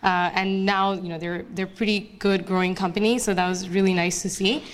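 A young woman from Bangladesh answers questions in front of an audience. Her talk is casual and chatty, full of fillers and restarts. Her voice sits at a middle pitch. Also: steady tone, normal speed. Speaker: guest speaker, investment analyst. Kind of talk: casual onstage interview.

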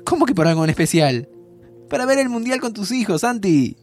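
An actor playing a cheeky, warm-hearted young Argentine man who travels in time, in a naturalistic audio drama. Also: high-pitched, big pitch swings, a little fast, faint music behind. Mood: playful, insistent. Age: young adult.

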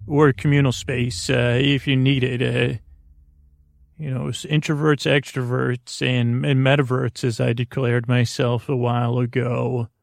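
A man hosting a sleep podcast in a creaky, lulling voice, meandering and stammering through deliberately dull tangents. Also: medium pitch, level tone, dry recording, studio quality. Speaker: host of a bedtime-story podcast. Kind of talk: rambling sleep podcast monologue.

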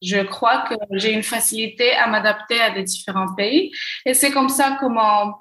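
A young Moroccan woman answering interview questions, often pausing and restarting as she searches for words. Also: fairly high pitch, a bit bright, reverberant. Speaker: podcast guest, gender equality consultant.

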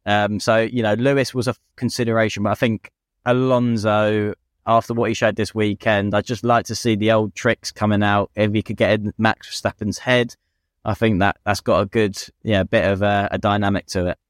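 A man's voice: fairly low pitch, flat delivery, moderate pace, clear, resonant tone, no room sound, studio quality. A British man co-hosting a casual motorsport chat as a racing driver, speaking in an informal, colloquial, opinionated way. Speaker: podcast co-host and racing driver.

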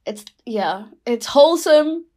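A woman's voice: high voice, expressive, slow, a little mellow. Chatty young woman, heavy fillers. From Rotuma, Fiji. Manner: chatty, many fillers, laughs easily. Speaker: guest. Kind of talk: casual reflective conversation.

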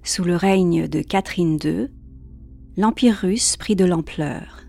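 A woman reading a calm, scripted narration for a sleep podcast. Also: on the low side, unhurried, gravelly, soft background music.

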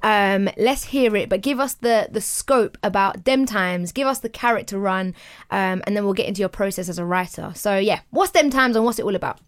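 A woman's voice: mid-range voice, brisk, clear, resonant tone. A woman with a London accent co-hosting a podcast in a chatty, slangy way, moving between banter and introductions. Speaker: podcast co-host.

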